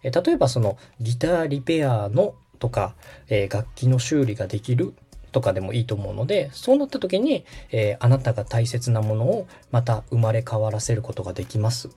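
A man's voice: medium pitch, very animated, fairly quick, mellow, husky, faint music behind. A man hosting a podcast, interviewing and coaching a guest in a polite, instructive conversational manner. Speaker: podcast host, radio director coaching guest.

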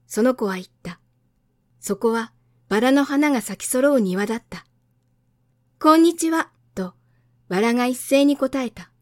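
Female storybook narrator, higher register, dry close sound. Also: a little mellow, dry recording. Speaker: voice actor narrator. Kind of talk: storybook read-aloud.

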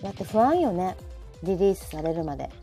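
Lively mellow woman with a Kansai accent. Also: on the low side, a little fast. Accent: Kansai Japanese. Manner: frequent backchannel agreement. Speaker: host of a live audio show. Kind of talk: casual chat. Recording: faint background music.

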